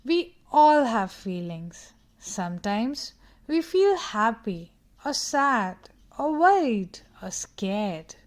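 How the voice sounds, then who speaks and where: A woman narrates a picture book for young children. Her voice sits a little high and she takes her time, speaking very slowly. She teaches as she reads, addressing the young listener directly with questions. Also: sing-song. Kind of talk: read-aloud storytelling for young children.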